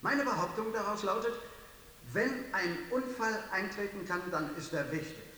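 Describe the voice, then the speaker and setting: A high-voiced man lectures emphatically as an expert in a discussion. He is distant from the microphone in a big, heavily reverberant hall, with faint hiss. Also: expressive, normal speed, sharp tone. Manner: emphatic, didactic. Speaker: risk analyst. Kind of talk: speaking in a public discussion.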